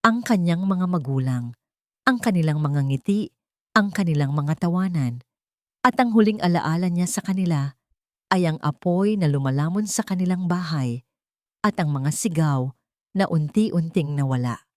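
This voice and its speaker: A woman narrates a romance drama with emotive, dramatic delivery. Her voice sits fairly low. The recording is close and dry. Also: animated, normal speed, no echo.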